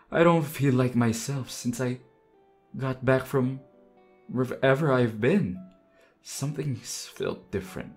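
A man's voice: lowish voice, darkish tone. A man playing an undead demon husband in an ASMR roleplay, obsessively loving and unhinged, theatrical in manner. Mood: pleading, yearning. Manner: theatrical, swinging from tender murmurs to desperate demands. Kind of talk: ASMR roleplay audio acting.

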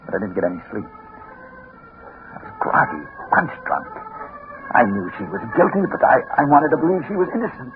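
A man's voice: fairly high pitch, huge pitch swings, normal speed, pauses often, music in the background. An American actor playing a haunted hard-boiled detective obsessed with a woman, in 1950s radio noir style. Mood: brooding narration. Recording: noisy, phone-like 1950s radio recording.

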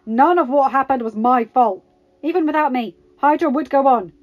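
A woman's voice: a little high, expressive, moderate pace, lo-fi sound. A woman voicing a menacing, defiant Russian male villain who spits out words. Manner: hissing, spitting out words.

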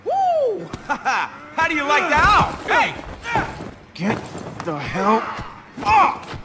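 An actor playing a brash, joking space outlaw in a video game cutscene. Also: male voice, extremely high pitch, wildly swooping pitch, extremely slow, heavily acted. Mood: frantic. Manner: wisecracking, brash. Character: cocky joking space outlaw man, adult. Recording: game audio with clear noise.

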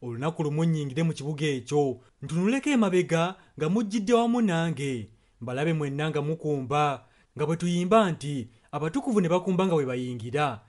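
A man's voice: fairly high pitch, expressive, fairly quick, few pauses, a little mellow. A man interpreting a sermon and prayer solemnly, phrase by phrase. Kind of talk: consecutive translation of a sermon and prayer.

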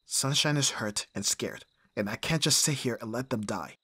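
Husky, intense bodyguard played by a man. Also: medium pitch, moderate pace, pushed and bright, no echo. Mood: pleading. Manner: intense, sighing, swearing. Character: abrasive male bodyguard, desperate and devoted to his client. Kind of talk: audio roleplay acting.

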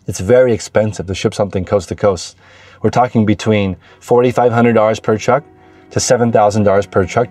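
A man's voice: lowish voice, dark tone, no echo. An American man in his forties talking casually as a vlog host, explaining things in a conversational, anecdotal way into a lapel mic in a car. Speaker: host, reseller vlogger. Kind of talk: casual explanatory monologue to camera. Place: inside a parked car. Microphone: lapel microphone clipped to shirt.

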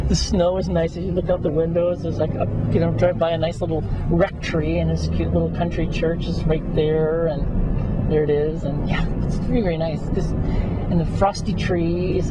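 A middle-aged man with a high squeaky voice gives an enthusiastic, rambling motivational talk while driving. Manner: enthusiastic, rambling, self-mocking. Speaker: motivational vlogger. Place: inside a car, driving on country roads. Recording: loud car road noise. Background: car engine and road rumble.

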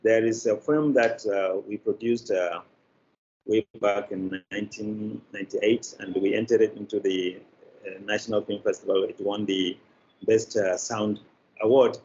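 An older man with a Nigerian accent telling his story as an interview guest, in a halting, deliberate, emphatic way. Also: fairly low pitch, fairly even intonation, a little mellow, slight background noise. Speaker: interview guest, film producer and festival founder. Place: indoor room, on a video call. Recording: dull, lo-fi video call audio.

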